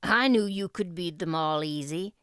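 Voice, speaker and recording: A woman voicing a quietly delighted, plain-spoken older country man in a storybook reading. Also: on the low side, normal speed, clean tone, no echo, clean studio sound, natural voice. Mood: quiet pride.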